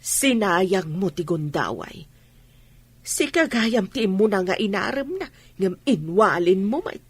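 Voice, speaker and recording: Woman doing scolding ilocano mom from the village, kinda husky, preachy, radio drama acting. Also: mid-range voice, expressive, unhurried, dry recording. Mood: reproachful. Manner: reproachful, preachy. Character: scolding, moralizing village mother of a pregnant daughter.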